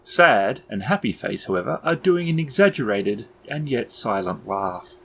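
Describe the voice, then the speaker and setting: A man working as game master, narrating scenes in measured, descriptive, literary language. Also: lowish voice, animated, creaky, a bit bright, slightly rough. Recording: background ambience noise under voice.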